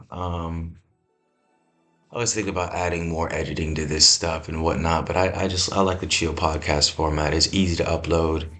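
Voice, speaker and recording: Man, very low voice, scratchy, drowsy, easygoing rambling host, faint music behind. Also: fairly bright tone. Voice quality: scratchy morning voice. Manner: rambling, easygoing, many fillers and restarts. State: just woken up, drowsy. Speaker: let's-play host. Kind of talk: laid-back gameplay podcast chat.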